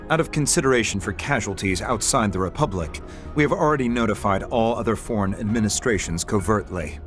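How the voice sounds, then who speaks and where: An actor playing a formal, businesslike government aide in a video game, delivering a precise, matter-of-fact briefing. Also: male voice, fairly low pitch, close to an everyday voice. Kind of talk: video game cutscene voice acting.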